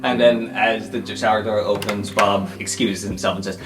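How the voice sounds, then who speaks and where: A man runs a tabletop game, describing scenes off the cuff in a halting way. His voice is fairly low, with clear guitar music behind it. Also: steady tone. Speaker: game master. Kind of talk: improvised tabletop game narration. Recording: instrumental music under the voice.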